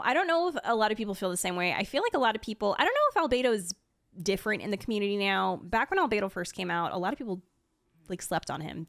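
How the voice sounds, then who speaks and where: A woman's voice: medium pitch, fairly bright tone, dry recording. Gushing woman host, very dry close mic. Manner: gushing, enthusiastic. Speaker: video host and streamer. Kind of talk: casual ranking and reaction commentary.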